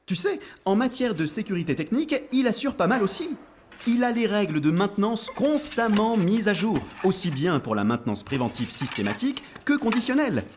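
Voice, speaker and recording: A man voicing a friendly, reassuring machine buddy who explains things in a chummy, animated way in an educational cartoon. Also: high-pitched, a little fast, a little hiss or hum. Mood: explaining, reassuring. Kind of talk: scripted educational cartoon dialogue.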